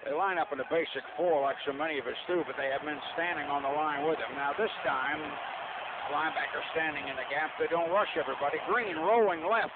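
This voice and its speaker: A man's voice: on the high side, brisk. A man doing live radio play-by-play of American football, partisan and speaking in terse bursts. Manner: partisan, terse bursts, says "we" for the home team. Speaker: play-by-play sports announcer. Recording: tinny band-limited radio broadcast, noisy.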